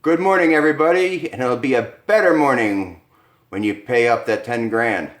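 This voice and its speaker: A man voicing a boisterous scheming con man who speaks in a loud, gloating way. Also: medium pitch, normal speed, echoey room, pitched above the performer's own voice, close to an everyday voice.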